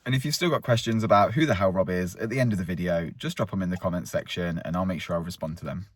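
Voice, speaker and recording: A man in his thirties talks in a loose, rambling, chatty way, like a vlogger on a walk. His voice sits fairly low. The sound is close and dry, with no room around it. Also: fast, breathy edge, dry recording. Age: 30s. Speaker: vlogger host. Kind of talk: casual walk-and-talk vlog. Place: outdoors on a countryside walk.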